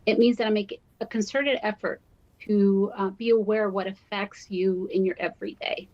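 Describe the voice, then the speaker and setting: Female interview guest, mid-pitched, explaining in a deliberate, measured way over a dull, lo-fi connection. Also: fairly even intonation. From born in Guatemala, raised in Miami, Florida. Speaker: university diversity officer, interview guest. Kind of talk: broadcast interview answers, explanatory.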